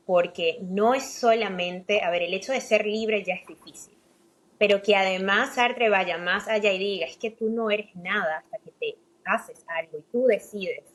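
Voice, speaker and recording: A woman's voice: medium pitch, a bit bright, rough recording. A woman co-hosting a philosophy podcast, curious, laying out ideas and asking her guest long questions. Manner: curious, frames questions at length. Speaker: podcast co-host. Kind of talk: podcast conversation.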